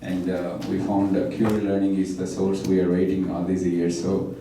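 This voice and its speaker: A man introducing a guest speaker, halting and full of fillers. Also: low voice, completely flat delivery, dark tone. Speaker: local center partner introducing the speaker. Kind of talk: hesitant introduction speech. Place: large echoey room. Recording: echoey room from far off, faint noise.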